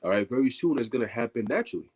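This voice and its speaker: A man with a stuffy nose from allergies, speaking up in an online scripture class, halting and repeating his words. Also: medium pitch, animated, darkish tone, breathy edge. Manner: halting, repeats words, many "you know"s. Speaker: class participant. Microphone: video call.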